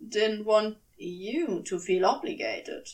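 A woman voicing an awkward, bashful, soft-hearted male demon in a story, with halting, stammering, clipped speech. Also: mid-range voice, distant mic, pitched below the performer's own voice, lightly acted. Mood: sheepish, awkward.

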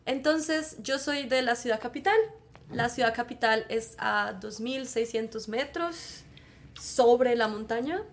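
A woman's voice: on the high side, unhurried. A young adult woman from Colombia with a Bogotá accent, a podcast guest who talks at length in an easy, chatty way and laughs often. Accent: Bogotá Colombian Spanish. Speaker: guest, Spanish teacher. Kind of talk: casual podcast interview.

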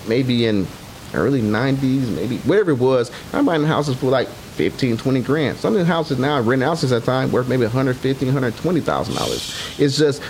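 A man's voice: medium pitch, expressive, moderate pace, darkish tone, slight background noise, poor audio. Laid-back middle-aged man, investor guest. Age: 40s. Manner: laid-back, full of "you know what I'm saying". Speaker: guest, real estate investor. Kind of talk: casual podcast chat.

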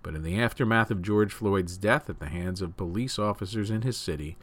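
A man's voice: on the low side, brisk. A man reading scripted news stories as the host of a news program.

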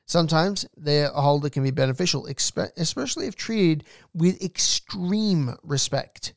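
A man's voice: a little high, a little slow, gravelly, no echo, studio quality. A man hosting a solo podcast, narrating with strong emphasis and speaking directly to the listener with asides. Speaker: podcast host.

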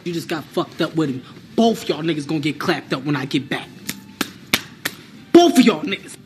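A man's voice: fairly high pitch, animated, normal speed, natural voice. An actor playing a pushy, hot-headed male customer in a film comedy, slangy, provoking and aggressive. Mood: threatening. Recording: clear background noise.

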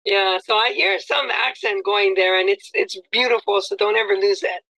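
A woman's voice: mid-range voice, moderate pace, creaky, bright, forceful tone, low-quality recording. A woman hosting an interview, effusive and complimentary, with many fillers. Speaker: interview host. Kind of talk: friendly interview chat.

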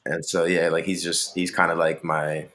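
A man's voice: low-pitched, flat delivery, moderate pace, a bit bright. A young man from Maryland, a musician, talking casually in an interview in a laid-back, rambling way with lots of fillers. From Maryland, USA.

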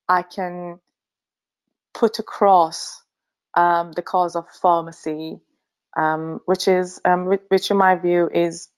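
A middle-aged woman from Finland, a pharmacy leader giving an interview, speaking thoughtfully with frequent ums and easy laughs. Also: medium pitch. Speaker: pharmacy association chief executive, guest. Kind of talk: remote interview.

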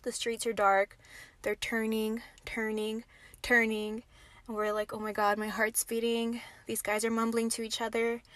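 A young woman in a lively storytime, her voice a bit high and her delivery animated, with dramatic pauses and casual fillers. The recording is dry and close, with no room sound. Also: no room sound. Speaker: podcast host. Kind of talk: storytime narration.

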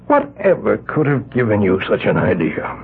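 An actor playing a folksy, evasive island patriarch in a 1970s radio drama, hesitant and smooth-talking. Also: male voice, on the low side, big pitch swings, moderate pace, exaggerated voice. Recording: tinny, lo-fi old radio broadcast.

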